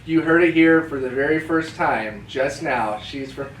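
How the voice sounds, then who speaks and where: A woman co-hosting a podcast with casual, playful, joking banter. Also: unhurried. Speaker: podcast co-host. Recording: echoey room, distant mic, rumbling noise.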